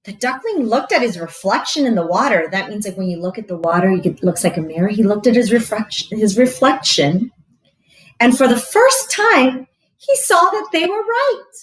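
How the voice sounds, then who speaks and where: A woman reading a storybook aloud to children as a teacher, expressive and explaining things simply. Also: on the high side, normal speed, poor audio. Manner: expressive, explains to young listeners.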